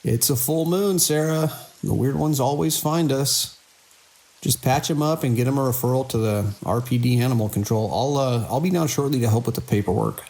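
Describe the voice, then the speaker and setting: A man playing a weary, caring middle-aged hospital director in an audio drama, measured and dry with small hesitant pauses. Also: medium pitch, gravelly, a little mellow, lightly acted. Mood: wry, gentle.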